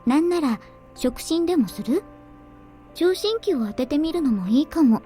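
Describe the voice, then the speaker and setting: A cool young woman in a visual novel, high-pitched yet mellow. She speaks quickly and bluntly, in an understated, earnest way, over soft game music. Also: expressive. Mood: earnest, slightly put out. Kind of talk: Japanese visual novel voice acting.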